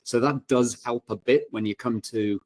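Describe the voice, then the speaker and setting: A man telling anecdotes as a panel guest, informal and halting, often correcting himself as he goes. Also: medium pitch, steady tone, dry recording. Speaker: panel guest, self-published author. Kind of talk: casual anecdotal chat.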